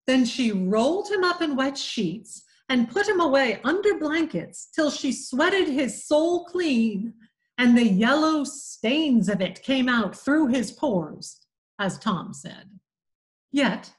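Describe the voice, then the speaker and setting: Woman with a New England accent reading a novel aloud; slow, measured delivery, lightly raised pitch. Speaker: community reader.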